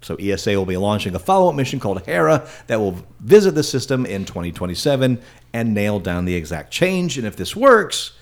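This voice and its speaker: A male podcast host reads a science news item in a loose, conversational way. His voice rises on key points, and his pitch is animated throughout. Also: a little fast. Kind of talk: casual chat and read news segment.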